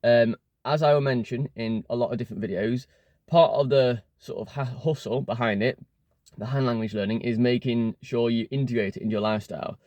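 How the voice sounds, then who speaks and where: A man's voice: mid-range voice, steady tone, fairly quick, a little mellow, no echo, muffled recording. A young man with an English accent, talking casually into his phone from inside his car and often stopping to restart his sentences. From England. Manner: rambling, with many fillers and restarts. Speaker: language learning vlogger. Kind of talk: casual talk to camera.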